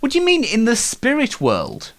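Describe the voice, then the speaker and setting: A man hosting a comedy show in a casual, self-deprecating and halting way. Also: very high-pitched, wildly swooping pitch, a bit bright. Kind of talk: casual, scripted comedy interview and chat.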